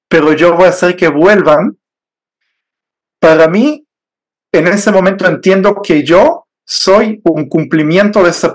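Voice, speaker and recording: Israeli guy, hebrew first language, talking it through bit by bit with little "¿sí?" check-ins. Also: high-pitched. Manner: measured, with hesitant fillers and "¿sí?" tags. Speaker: interview guest. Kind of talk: personal faith testimony.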